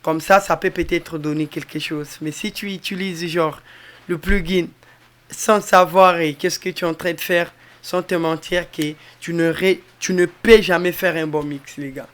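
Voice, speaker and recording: A man with a Congolese French accent giving an informal music mixing tutorial in a halting, repetitive way, addressing viewers directly. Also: fairly high pitch, steady tone, fairly bright tone. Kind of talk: informal tutorial with on-the-spot demonstration.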